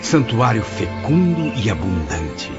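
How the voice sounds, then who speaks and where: A man's voice: lowish voice, sing-song, takes it slowly, exaggerated voice. A man playing a remorseful spirit writer who recounts things in a solemn, literary, declamatory way, as in an audio novel. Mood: awed, reverent. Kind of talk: audio novel narration in character. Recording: dull muffled audio under music.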